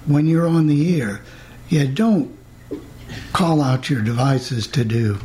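A man co-hosting a radio talk show over a video call, halting and stern. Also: mid-range voice, darkish tone, slight background noise. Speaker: co-host. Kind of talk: casual panel chat.

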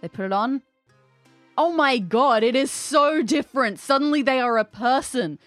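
A woman's voice: high voice, animated, moderate pace, fairly bright tone, no room sound, faint music behind. Playful woman game master narrating. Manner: playful, storytelling. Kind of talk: narrating a tabletop-style escape room.

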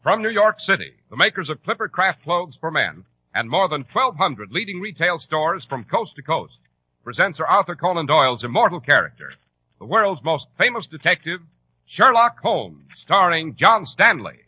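A man's voice: on the high side, very animated, moderate pace, bright, forceful tone, a little hiss or hum. An American man hosting and announcing in 1940s radio style, polished, emphatic and salesmanlike. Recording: muffled old radio broadcast.

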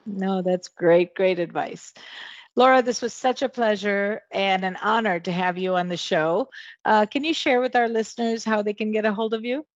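A woman's voice: mid-range voice, normal speed, no room sound, highs cut off. A woman hosts a podcast interview with a mid-range voice, encouraging and affirming her guest. Her sound is dry and close, slightly dulled like a remote call. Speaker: podcast host. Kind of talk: interview hosting.

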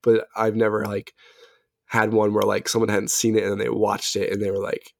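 Gravelly, slightly raspy male podcast guest. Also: fairly low pitch, monotone, brisk, no echo, studio quality. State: recovering from illness, voice a little raspy. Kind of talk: casual chat.

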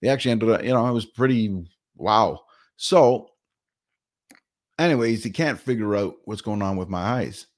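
A middle-aged man from Canada recounts his week in a casual vlog, his voice on the lower side. He rambles and circles back, leaning on fillers and restarts as he tells the story. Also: moderate pace, long pauses. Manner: rambling, chatty, many fillers. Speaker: vlogger.

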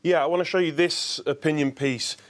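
A man's voice: a little high, steady tone, fairly quick. A man giving a live press review on a news programme, explaining what the papers say.

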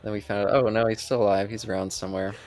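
Male co-host, fairly deep, rapid casual talker. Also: level tone, a little mellow. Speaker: podcast co-host. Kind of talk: casual chat.

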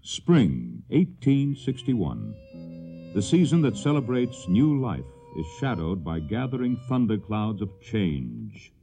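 A man's voice: fairly low pitch, sing-song, slow pace, creaky, warm tone, soft background music. A man hosting a radio drama with solemn, dramatic scripted narration. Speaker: host and narrator.

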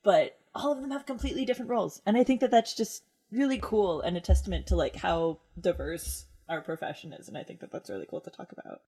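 A woman's voice: medium pitch, quick pace, hardly any pauses, dark tone, husky. A woman co-hosting a podcast, talking in an enthusiastic, bubbly way. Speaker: podcast co-host. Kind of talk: casual podcast chat.

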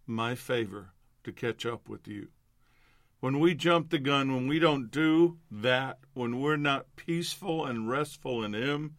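A man's voice: mid-range voice, fairly bright tone, no echo. Older male minister, nasal and congested, earnest prayer rising to fervent declarations, dry close sound. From New York. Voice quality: nasal from allergies. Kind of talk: devotional prayer and exhortation.

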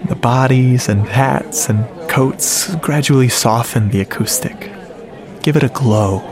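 A man's voice: mid-range voice, unhurried, close to an everyday voice. An actor playing a lovestruck, self-deprecating geek, narrating his story in a confiding, earnest way in a radio drama. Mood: eager, reflective. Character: lovestruck, self-deprecating, geeky male sound-effects artist. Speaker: narrator and lead character. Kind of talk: first-person radio drama narration, conversational. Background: music under the voice.

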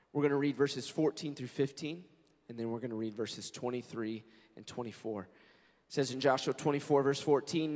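Hoarse pastor preaching in a reverberant hall. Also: male voice, a little high. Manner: earnest, conversational preaching with storytelling. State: hoarse, scratchy voice. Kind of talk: sermon with prayer and scripture reading. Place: church sanctuary. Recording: long reverb of a large church hall.

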